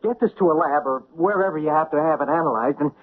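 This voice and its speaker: A man's voice: a little high, quick pace, lightly acted. An actor playing a nervous husband who stammers and stumbles over his words in a radio drama. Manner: stammering, jittery. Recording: tinny old radio broadcast recording.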